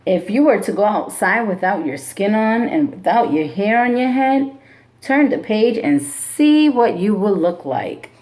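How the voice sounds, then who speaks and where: A woman teacher reading a picture book aloud to a class in a slow, expressive storybook manner, then giving classroom instructions. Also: mid-range voice.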